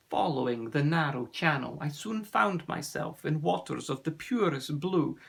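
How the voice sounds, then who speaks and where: Man doing a proud old-world storybook sea serpent dad, gravelly, solemn, measured. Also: fairly high pitch, fairly bright tone, heavily acted.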